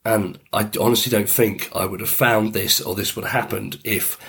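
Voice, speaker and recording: A middle-aged man hosting a podcast in a rambling, easygoing monologue, his voice deep and croaky from a chest cold. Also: fairly bright tone. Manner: easygoing, rambling, frequent "you know". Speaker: podcast host. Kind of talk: casual reflective monologue.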